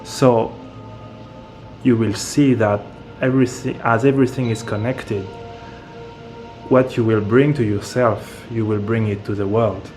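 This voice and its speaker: A man from France, a guest speaking in a slow, hesitant way and searching for his words. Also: on the low side, fairly even intonation, a little mellow, soft background music. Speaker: interview guest, medium and author. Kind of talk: casual interview talk.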